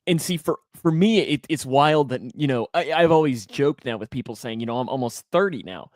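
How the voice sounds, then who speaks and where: A man's voice: mid-range voice, animated, fairly quick, no room sound, clean studio sound. A man in his twenties hosting a casual sports talk show, speaking emphatically and repeating phrases to drive them home. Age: 20s. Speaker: sports show host and broadcaster.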